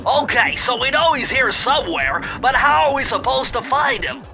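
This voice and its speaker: A nervous, brainy turtle explains gadgets in careful, wordy sentences. His voice sits very high. Game music plays clearly underneath. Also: animated, moderate pace, full character voice. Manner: wordy, precise, fussy, explanatory. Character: brainy nervous male turtle tech expert.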